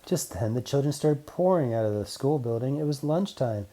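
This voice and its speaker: A children's audiobook narrator reading a story with deliberate, storybook phrasing. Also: medium pitch, expressive, mellow.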